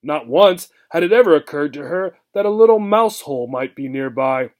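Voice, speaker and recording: A man narrating a children's story aloud in an expressive read-aloud style. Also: fairly high pitch, normal speed. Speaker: reader, teacher.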